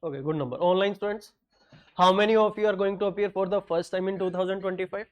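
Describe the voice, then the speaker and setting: A man's voice: high voice, fairly even intonation, muffled recording. A middle-aged man from North India, whose first language is Hindi, teaching a classroom lecture with a North Indian accent and joking asides. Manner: mixes English with quick Hindi asides, joking.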